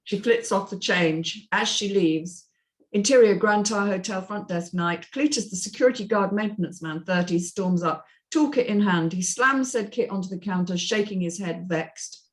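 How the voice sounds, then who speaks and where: A woman's voice: mid-range voice, moderate pace. A woman reading stage directions aloud in a scripted narration style.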